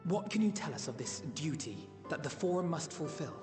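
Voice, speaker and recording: A man's voice: a little high, big pitch swings, brisk. An actor playing an earnest, serious young male scholar in a video game cutscene, formal and courteous. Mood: earnest, polite. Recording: reverberant hall, music behind.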